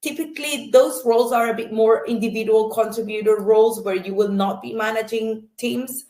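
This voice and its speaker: A woman's voice: fairly high pitch, steady tone, moderate pace, dark tone, reverberant. A woman recruiter giving an online presentation about internships, hesitant, with many fillers and restarts.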